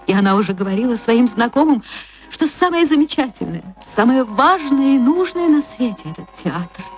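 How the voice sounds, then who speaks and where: A woman reading a short story for radio as an actress, in expressive literary narration. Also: a little high, normal speed. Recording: music under the voice.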